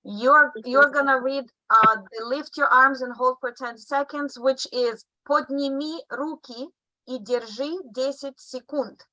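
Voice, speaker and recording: A woman's voice: high voice, steady tone, pushed and bright, no echo, low-quality recording. A woman whose first language is Russian, a physician, patiently coaching colleagues through phrases on a video call. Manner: slow, patient coaching with laughs. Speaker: physician teaching stroke exam phrases. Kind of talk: online teaching session. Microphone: computer mic on a video call.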